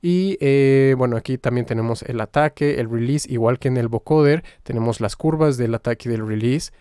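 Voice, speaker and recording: A man giving an informal step-by-step software tutorial as the instructor, in a halting, explanatory manner. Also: mid-range voice, clear, resonant tone, dry recording, studio quality.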